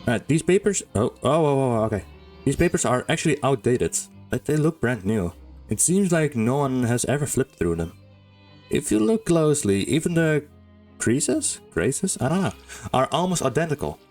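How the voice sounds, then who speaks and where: Informal gamer commentating over soft music. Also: mid-range voice, very animated, normal speed. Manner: informal, rambling. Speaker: streamer playing a game. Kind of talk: casual gameplay commentary.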